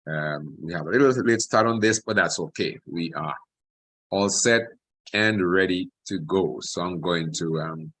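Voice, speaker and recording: A male pastor opens an online program, easing in with pauses and fillers. His voice sits somewhat low and comes over a video call. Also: fairly bright tone. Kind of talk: program introduction.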